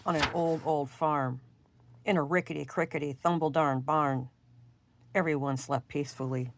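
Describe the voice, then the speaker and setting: A woman reading a rhyming children's storybook aloud in a singsong, expressive, drawn-out way. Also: sits low. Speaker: storybook reader.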